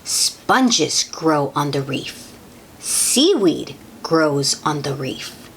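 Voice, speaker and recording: Woman for children's read-aloud: very slow delivery, lively swooping intonation, simple questions to the young listener. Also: deep voice, slight background noise. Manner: child-directed, asks the listener questions, exclaims in wonder. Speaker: host reading picture books aloud to children.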